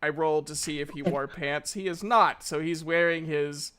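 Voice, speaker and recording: Man, bright forceful voice, slightly high, jokey casual game-night narration. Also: steady tone, moderate pace, smooth. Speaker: tabletop RPG player. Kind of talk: casual improvised roleplay narration.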